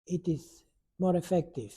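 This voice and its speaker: Elderly man in his 90s, dark husky timbre, slow earnest testimony with pauses. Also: sits high. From Bulgaria. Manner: halting, searching for words, earnest. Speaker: Holocaust survivor interviewee. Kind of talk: oral history interview.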